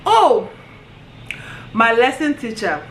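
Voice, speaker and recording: A woman with a Nigerian accent telling a story on her vlog in an animated way, often breaking into laughter. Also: a little high, takes it slowly, a little hiss or hum. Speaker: vlogger. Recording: roomy echo, faint ambience.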